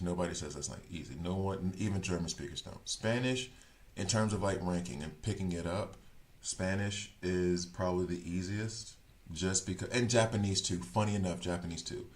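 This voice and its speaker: A man with a notably low voice chats casually on a game stream. He rambles, restarts his sentences and corrects himself as he works his thoughts out aloud. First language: English. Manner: talks things through as he thinks. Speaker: gaming streamer.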